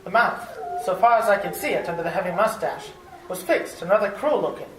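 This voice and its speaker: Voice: medium pitch, expressive, brisk, natural voice. An actor playing an earnest, frightened English traveller held prisoner, telling the tale dramatically in an old-time radio drama. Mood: tense, awed. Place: live performance at a public library. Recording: roomy, distant, noisy live recording.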